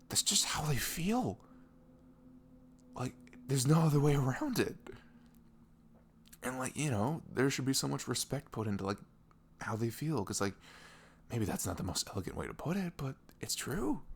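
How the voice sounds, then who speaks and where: A young man playing a sweet, caring boyfriend in an intimate ASMR roleplay, rambling casually in slangy, animated speech. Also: medium pitch, normal speed, pauses often. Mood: animated, exasperated. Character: sweet, caring, chatty boyfriend on a morning after. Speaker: audio roleplay voice actor.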